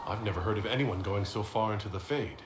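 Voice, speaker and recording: A composed young-hero type of mage, acted by a man in a fantasy game, with a low voice. He talks directly and asks plain questions. The sound is echoey, with clear background noise. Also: fast talker, natural voice. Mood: curious, reassuring. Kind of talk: video game dialogue acting. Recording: roomy echo, noisy background.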